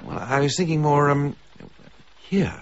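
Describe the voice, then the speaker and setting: An actor playing a wry, awkward English gentleman in a radio drama, polite and hesitant with dry wit. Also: fairly high pitch, measured pace, lo-fi sound, natural voice. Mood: awkward, hopeful. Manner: dryly witty, hesitant, polite.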